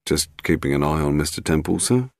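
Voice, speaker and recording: A grumbling, uneasy British underling, played by a male audiobook reader, with a creaky voice. He mutters warily, his pitch moving about a good deal. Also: animated, fairly quick, dry recording, clean studio sound, exaggerated voice. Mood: deferential. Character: jumpy, grumbling British man, deferential underling from London.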